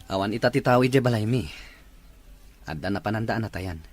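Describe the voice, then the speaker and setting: A man's voice: lowish voice, brisk, lightly acted. A man playing an easygoing drinking buddy in an Ilocano audio drama.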